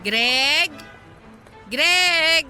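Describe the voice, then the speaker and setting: Young woman best friend, teasing n chatty, super bright voice, radio drama w music behind. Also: high-pitched, extremely slow, heavily acted. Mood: eager. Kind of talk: Filipino radio drama acting.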